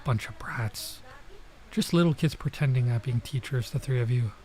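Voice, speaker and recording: A man reads out a stern young woman from an anime visual novel, exasperated and fiercely protective as she scolds. The pitch sits in an ordinary male range, with clear noise behind it. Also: normal speed, a little mellow, natural voice. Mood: contemptuous. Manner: exasperated, reprimanding.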